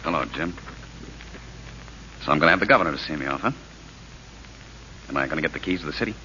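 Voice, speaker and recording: Sharp, fast-talking cocky gangster. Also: male voice, very deep voice, lively intonation, full character voice. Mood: wry bravado. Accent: American. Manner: slangy, sardonic. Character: wisecracking, cocky condemned gangster. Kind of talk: old-time American radio drama acting. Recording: muffled, noisy vintage radio recording.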